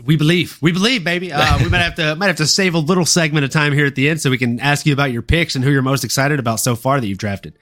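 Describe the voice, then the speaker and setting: A man's voice: on the high side, quick pace, few pauses, a bit bright. A man hosting a sports podcast in an informal, slangy, showman-like way, talking fight fans through the card. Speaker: podcast host. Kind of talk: upbeat sports podcast talk and fight recap.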